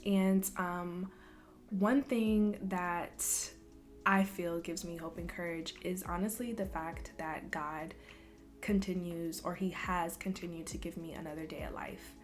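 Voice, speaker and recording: A young woman from Louisville, Kentucky, chatting casually in a Q&A vlog, soft-spoken and relaxed with frequent ums. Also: on the low side, unhurried, soft background music. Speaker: vlogger. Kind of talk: casual Q&A chat.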